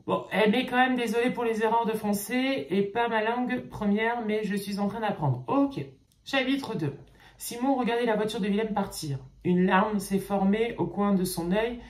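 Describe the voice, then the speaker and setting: Chatty woman reading aloud in an echoey room. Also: medium pitch. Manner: chatty, reading slowly, with frequent "ok" and hesitations. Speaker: YouTuber. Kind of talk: reading fan fiction aloud with comments. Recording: roomy echo.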